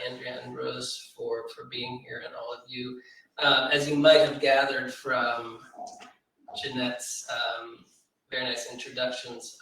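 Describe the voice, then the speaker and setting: A man's voice: medium pitch, fairly bright tone. A man giving polite, informal remarks as a guest speaker, hesitating as he goes. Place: reverberant indoor library event room. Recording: very echoey room, distant mic.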